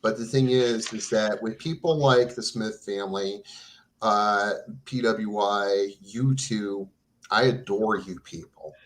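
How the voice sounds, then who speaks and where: A man's voice: lowish voice, slow pace. An earnest man in his forties hosting a casual chat, stammering and restarting his sentences. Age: 40s.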